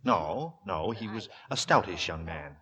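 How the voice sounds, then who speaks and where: A man narrating a horror tale as a grave, suspenseful storyteller in measured, literary 1940s radio style. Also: sits low, animated, a bit bright. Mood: wry, dramatic.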